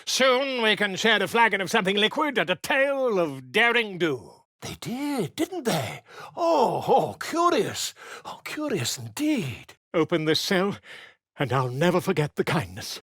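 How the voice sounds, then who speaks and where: Pompous, theatrical bard, wildly animated. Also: male voice, high voice, unhurried, no room sound, studio quality, heavily acted. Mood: excited, delighted. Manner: pompous, florid, effusive diction. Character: flamboyant, self-important male bard and author, theatrical and excitable. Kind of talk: fantasy video game voice acting.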